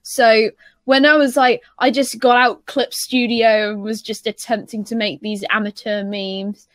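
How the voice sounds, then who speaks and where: A woman's voice: fairly high pitch, level tone, unhurried, no echo. A woman chatting casually as a panelist on a group podcast.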